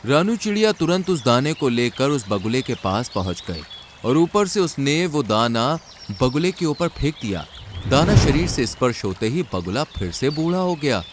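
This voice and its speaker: A man's voice: medium pitch, big pitch swings, normal speed, noisy background. A man reading scripted narration for a children's cartoon story.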